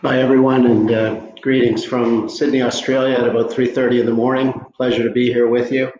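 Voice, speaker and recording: A Canadian man in his sixties introducing himself casually on a video call from home, relaxed with many fillers. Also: medium pitch, brisk. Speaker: panelist. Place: home room at night, indoors. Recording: echoey, dull video call audio.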